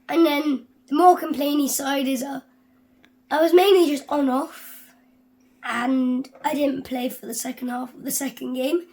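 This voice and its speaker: High croaky voice, slow unhurried match report on a voice note. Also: female voice. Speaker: young rugby player sending a voice note. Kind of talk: recorded voice message.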